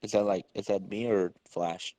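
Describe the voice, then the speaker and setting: A man's voice: on the low side, fairly even intonation, normal speed, mellow, no echo. Casual male podcast co-host. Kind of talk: casual joking chat during a comic read-through.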